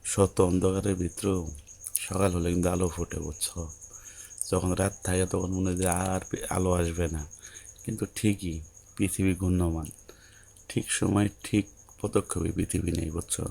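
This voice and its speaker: A man's voice: deep voice, level tone, moderate pace, gravelly, long pauses, a little mellow. A man playing a patient, coaxing young newlywed husband in an audio story, with a Bangladeshi accent and a soothing, colloquial way of explaining. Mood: gently coaxing.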